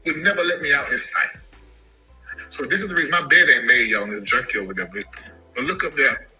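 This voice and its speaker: A man telling an emotional personal story about his family, animated and given to sudden high shouts. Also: fairly quick, faint music behind, rough recording. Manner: animated, jumps into high-pitched shouts when quoting.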